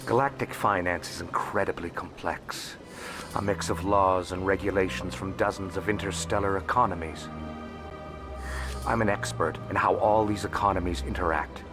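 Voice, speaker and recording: Game casting: male actor as shrewd alien financier and information dealer, low register, formal and discreet, music underneath. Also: fairly even intonation, moderate pace, close to an everyday voice. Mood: businesslike. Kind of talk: video game dialogue acting. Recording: music behind the voice.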